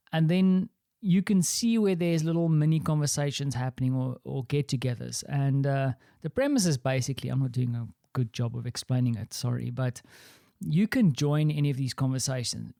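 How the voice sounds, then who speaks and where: A man's voice: medium pitch, moderate pace, gravelly, dark tone, no echo, studio quality. A man with an Afrikaans accent hosting a solo podcast, talking in a rambling, reflective, self-deprecating way from his small office. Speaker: podcast host.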